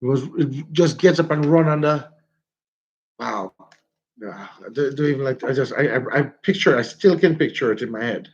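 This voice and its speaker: A man's voice: medium pitch, normal speed. A man with a stuffy nose, chatting casually on a livestream and telling stories with frequent laughter. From Jamaica. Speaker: vlogger. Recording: dull lo-fi phone audio.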